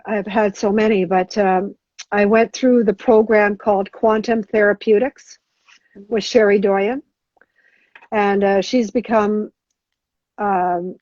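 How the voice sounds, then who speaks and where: A middle-aged woman answering as a guest on a podcast interview, talking haltingly with many restarts and fillers. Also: medium pitch, fairly even intonation, a little mellow, dry recording. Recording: dull lo-fi sound.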